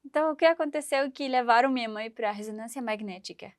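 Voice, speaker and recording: A woman in her thirties from Syria, speaking in a fairly high voice. She tells her story with animation, acting out the voices of the people in it. The sound is dry and close. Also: fast, no echo. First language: Arabic. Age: 30s. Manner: animated, acts out quoted voices, laughs while telling. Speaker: guest. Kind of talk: storytelling interview.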